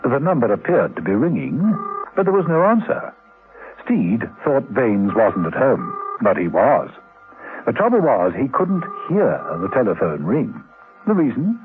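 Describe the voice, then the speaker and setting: A man's voice: medium pitch, huge pitch swings, a little slow, music in the background. A man narrating a scripted radio drama in a theatrical, wry storytelling manner. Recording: tinny, band-limited vintage radio recording.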